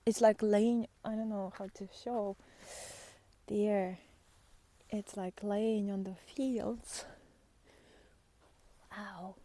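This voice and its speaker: A woman with a mellow, relaxed voice talks slowly, as a spiritual guide would to her followers. Her delivery is tender and halting, with pauses between short phrases. It feels intimate and unscripted, at times close to a whisper. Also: mid-range voice, no echo. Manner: tender, halting, with long pauses, sighs and soft laughs. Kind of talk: spontaneous, intimate spiritual talk to viewers. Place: outdoors in nature, walking by foggy fields.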